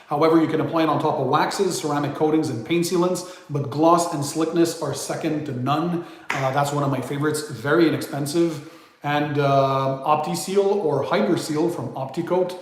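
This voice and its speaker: A man's voice: a little high, moderate pace, a little hiss or hum. A man casually hosting a live stream, reading out viewer questions and thanking donors as he chats. English is not his first language. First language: not English; Greek is his third language. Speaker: livestream host. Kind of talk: casual livestream chat. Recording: very echoey room.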